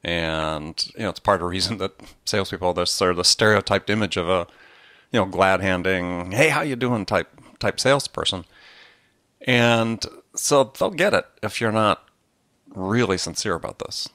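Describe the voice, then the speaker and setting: Low-voiced man hosting a podcast. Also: moderate pace, fairly bright tone, no echo, studio quality. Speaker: podcast host. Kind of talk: casual podcast conversation.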